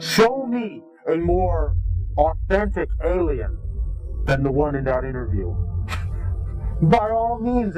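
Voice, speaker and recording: A higher-than-usual male voice gives combative interview answers. He chooses his words formally and deliberately, with open contempt. Music plays faintly behind him. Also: mellow, lo-fi sound. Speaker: interviewed anonymous informant.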